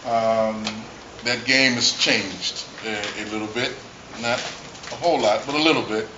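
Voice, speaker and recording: A man moderating a conference panel, speaking in loose, halting phrases. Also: medium pitch. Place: conference hall. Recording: muffled, noisy, roomy, from far off.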